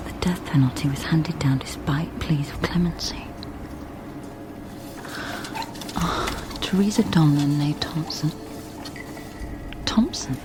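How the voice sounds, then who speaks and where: An actress playing a wry, guarded woman investigator in a naturalistic radio drama. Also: low voice. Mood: absorbed, murmuring. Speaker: lead actor in a radio drama.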